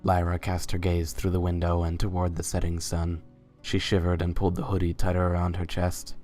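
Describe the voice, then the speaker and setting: A man's voice: deep voice, monotone, a little fast, mellow, soft background music. A man reading scripted third-person narration for a dramatized story.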